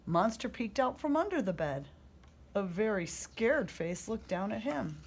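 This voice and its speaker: A woman reading a children's story aloud as a storyteller, in expressive narration. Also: medium pitch, a little slow, husky.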